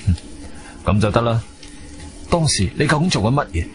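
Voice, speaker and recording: A man's voice: mid-range voice, very animated, moderate pace, a little hiss or hum, close to an everyday voice. An actor playing a composed, wronged adventurer who tells his own story in a radio drama, stern and dramatic. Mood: weary, stern. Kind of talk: first-person radio drama narration and dialogue. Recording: music behind the voice.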